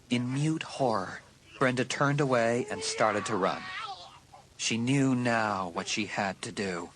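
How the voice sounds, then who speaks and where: A man with a husky voice giving suspenseful, scripted narration of a dramatised audiobook. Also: mid-range voice, background noise. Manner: suspenseful storytelling.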